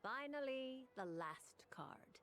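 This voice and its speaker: A woman's voice: high voice, expressive, slow, a bit bright, slight background noise, full character voice. A woman voicing a poised, mysterious tarot reader in a video game, with a formal, theatrical delivery. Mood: intrigued, mysterious.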